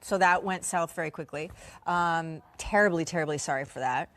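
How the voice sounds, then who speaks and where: A man with a fairly high voice talks like an apologetic presenter, haltingly smoothing things over. There is faint noise behind him.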